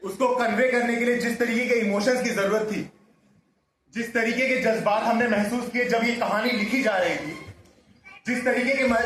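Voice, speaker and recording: A man's voice: extremely high pitch, fairly bright tone. A man presenting on behalf of a drama society, addressing a live audience in a formal, emphatic way. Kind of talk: addressing a live audience after a stage play. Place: stage venue, speaking to an audience. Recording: echoey venue, faint noise.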